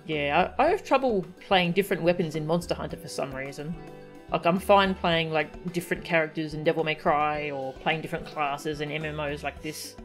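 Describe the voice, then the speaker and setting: A woman's voice: low-pitched, a little fast. A woman streaming a game with casual, playful, chatty live commentary. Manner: playful, chatty, goofy sound effects. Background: faint game music.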